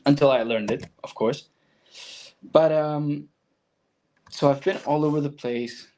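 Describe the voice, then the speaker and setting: A man's voice: on the high side, measured pace, dark tone. A man from Argentina with a Latin American accent and Spanish as his first language, speaking as a guest and telling his personal story reflectively in conversation.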